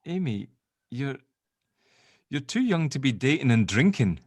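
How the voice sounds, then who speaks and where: A man acts out a fatherly, middle-aged dad in an audio drama, protective and a little awkward. His mid-pitched voice rambles and hesitates, recorded dry. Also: big pitch swings, a little slow, no room sound. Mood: flustered, worried. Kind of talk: table read of an audio drama script.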